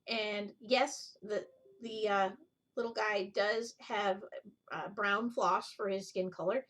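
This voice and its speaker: A middle-aged woman hosts a casual craft vlog in a mid-pitched voice. She talks in a rambling, informal way, showing things as she goes and wandering into side remarks. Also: fairly even intonation, takes it slowly, fairly bright tone. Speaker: needlework video host. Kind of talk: casual chat, show-and-tell vlog.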